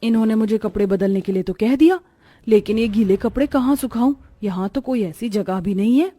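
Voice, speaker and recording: A woman's voice: fairly high pitch, fairly quick, dark tone, dry recording, close to an everyday voice. A woman voicing a naive, earnest young village bride in a dramatized moral story. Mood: innocent.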